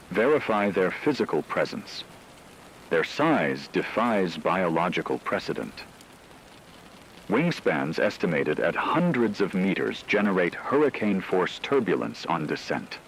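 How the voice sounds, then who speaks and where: A man playing a grave radio news anchor, delivering a scripted catastrophe bulletin in a solemn, measured, dramatic way over steady hiss. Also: low voice, heavily acted, low-quality recording.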